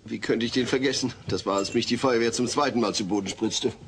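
A man's voice: medium pitch, quick pace, fairly bright tone, a little hiss or hum, lightly acted. A man playing a mild middle-aged suburban husband in an audio drama, earnest, hesitant and easily flustered. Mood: reminiscing. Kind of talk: German audio drama dub acting.

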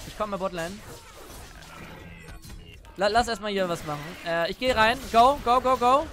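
A man's voice: high voice, normal speed, a bit bright, faint music behind. A young man in his twenties with a headset, streaming a game at home, chatting casually and asking questions as he calls out plays. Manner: chatty, asks questions while calling plays. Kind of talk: casual gaming chat. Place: home room at a desk.

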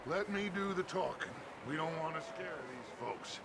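An actor playing a smooth-talking, commanding male gang leader in a Western video game, theatrically polite one moment and giving orders the next. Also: fairly high pitch, animated, normal speed. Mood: courteous. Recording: music and horse sounds behind.

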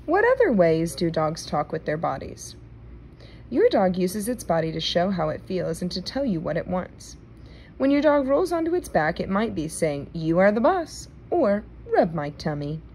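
A woman reads a children's book aloud with a slightly low voice. Her intonation rises and falls in a lively way, and she phrases things carefully. There is some faint noise in the indoor home recording. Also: normal speed. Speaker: read-aloud reader.

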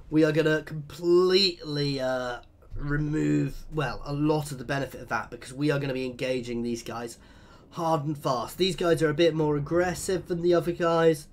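A man with a bunged-up cold, chatting and thinking aloud as he commentates his own strategy game playthrough. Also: a little high. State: congested from a cold. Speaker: let's play host.

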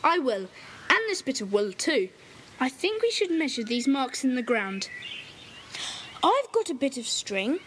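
A woman's voice: high-pitched, big pitch swings, measured pace, pauses often, a bit bright, a little hiss or hum, exaggerated voice. A woman playing an eager, decisive young boy who leads a group of children in an audio drama.

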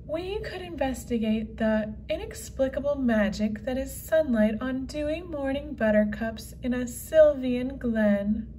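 A woman's voice: high voice, measured pace, warm tone, slight background noise. A woman voicing a vain, whimsical unicorn in a children's comic, lofty and self-satisfied. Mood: dreamy.

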